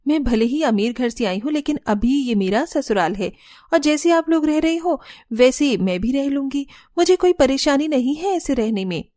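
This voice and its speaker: A woman's voice: high-pitched, expressive, moderate pace, warm tone, no room sound, clean studio sound. A woman voicing a kind, accommodating young bride from a rich home, earnest and dutiful, in a cartoon. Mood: reassuring. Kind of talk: Hindi cartoon story dialogue.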